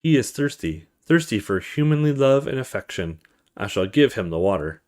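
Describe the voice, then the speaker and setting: A man's voice: mid-range voice, sing-song, normal speed, a little mellow, lightly acted. A man reading the part of an eerie, commanding undead young boy in a horror visual novel, speaking in ominous requests.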